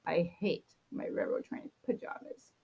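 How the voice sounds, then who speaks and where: A woman's voice: measured pace, warm tone. A woman reading a children's picture book aloud, expressively acting out a grumpy child's complaints. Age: adult. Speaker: storybook reader.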